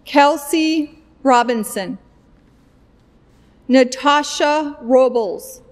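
Slow, high-voiced woman reading names. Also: big-room sound. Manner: deliberate, evenly paced name reading at a podium. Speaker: commencement name reader. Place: indoor stage at a podium, recorded for a virtual ceremony. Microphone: podium microphone.

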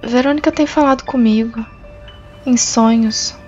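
A woman playing an earnest, hopeful patrol member giving measured reports in a science-fiction audio drama. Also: fairly high pitch, darkish tone, close to an everyday voice. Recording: dull audio with faint music.

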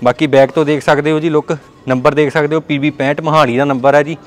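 A young man with a Punjabi accent walking through a large indoor car showroom, repeating a friendly sales pitch to viewers he calls brothers. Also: fairly even intonation, quick pace. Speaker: car dealer showing stock. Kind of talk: walkaround sales tour.

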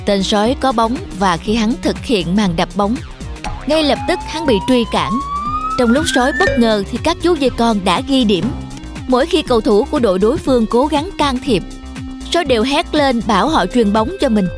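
A woman's voice: fairly high pitch, expressive, normal speed. A woman narrator reading a scripted children's story. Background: music.

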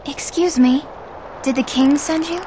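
A woman playing a gentle, composed young woman in a video game, speaking politely and with measured calm. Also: high voice, background noise. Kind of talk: video game cutscene acting.

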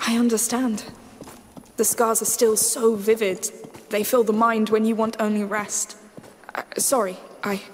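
A woman's voice: on the high side, little pitch movement, a little slow, darkish tone, slight background noise, lightly acted. A woman playing an earnest, protective teenage girl in a video game cutscene. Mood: subdued, sympathetic.

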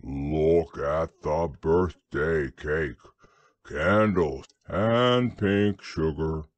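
A man voicing a gloomy, sarcastic old donkey in a storybook reading, with a low, slow, drawn-out voice. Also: big pitch swings, a little mellow, dry recording, exaggerated voice. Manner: morose, dryly sarcastic.

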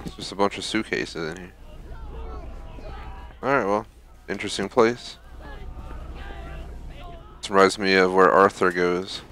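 A man's voice: low-pitched, fairly even intonation, a little slow, long pauses, sharp tone, a little hiss or hum. A man giving casual, off-the-cuff commentary while playing through a video game. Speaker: gaming video host.

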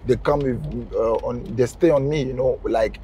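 A man's voice: mid-range voice, normal speed, a little hiss or hum. Laid-back young man chatting in a car. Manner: relaxed, laughs often, simple phrasing. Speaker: footballer guest. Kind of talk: casual chat. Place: inside a moving car.

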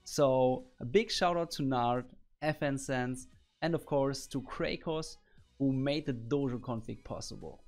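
A man's voice: mid-range voice, unhurried, a little mellow, faint music behind. Upbeat man coaching through gameplay. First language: German. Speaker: gaming coach. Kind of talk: game tutorial narration. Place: home office. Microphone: boom arm studio mic.

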